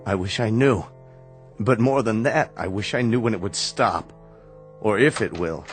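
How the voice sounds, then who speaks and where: An actor in a radio drama playing a stern, sardonic medical researcher who speaks in a measured, commanding way. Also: male voice, on the low side, faint music behind, close to an everyday voice. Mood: grave concern.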